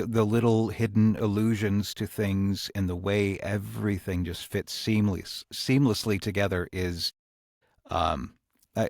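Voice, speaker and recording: A low-pitched man talks through his storytelling craft in a podcast conversation, taking his time. He is thoughtful and halting as he hunts for the right words, and the recording is close and dry. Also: dry recording. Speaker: audio drama writer and creator. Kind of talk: reflective podcast discussion.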